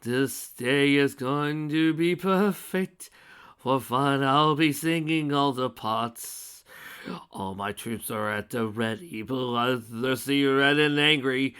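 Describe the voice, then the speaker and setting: A man's voice: mid-range voice, slow pace, fairly bright tone, clear, resonant tone. A man voicing a manic, bloodthirsty male alicorn villain who cackles and sneers. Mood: gleeful singing.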